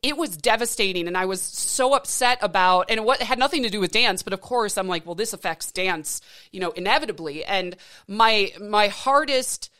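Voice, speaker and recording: A woman's voice: medium pitch, lively intonation, moderate pace, few pauses, bright, forceful tone. A woman in her late thirties hosting a podcast, talking in an earnest, encouraging way with frequent restarts. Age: 30s. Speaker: podcast host. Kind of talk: casual interview chat.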